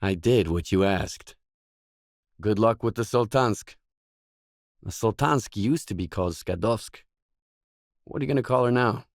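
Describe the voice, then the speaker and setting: A low male voice as a gruff, cool-headed mercenary in a video game. He speaks in blunt, guarded lines with stern economy. The sound is dry and close, with no room around it. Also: a little slow, pauses often, no room sound. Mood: guarded, matter-of-fact. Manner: terse, stern, matter-of-fact. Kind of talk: scripted game dialogue lines.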